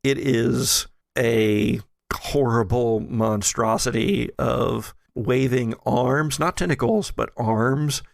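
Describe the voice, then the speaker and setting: A man narrating as game master in a tabletop role-play, halting, with many fillers. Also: mid-range voice, creaky, dry recording, clean studio sound.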